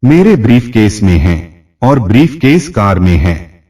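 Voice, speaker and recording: A man's voice: lowish voice, big pitch swings, a little slow, a little mellow, distant mic, rough recording. A man voicing a flustered, nervous married man in an audio storybook. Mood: nervous, yielding. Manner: flustered, questioning. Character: nervous married man, smitten, then cornered and frightened.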